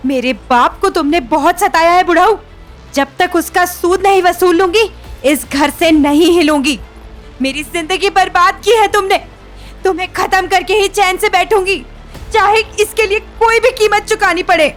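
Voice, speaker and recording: A woman's voice: extremely high pitch, moderate pace. A woman playing a stubborn, vengeful young wife in an audio drama, indignant and emphatic. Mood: bitter, vengeful. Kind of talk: Hindi audio drama acting. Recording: music bed under the voice.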